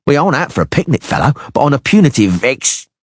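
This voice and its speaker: A man's voice: medium pitch, sing-song, fast, husky, dry recording, clean studio sound, voice pushed up. A man voicing a crude, mocking mercenary who speaks with a sneer, in an audiobook reading. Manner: mocking, foul-smiling.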